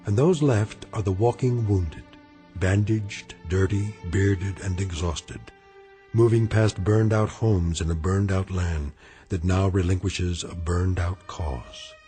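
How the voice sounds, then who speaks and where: Deep dark solemn narrator guy, slow and grave, mellow tone. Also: soft background music. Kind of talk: scripted dramatic narration.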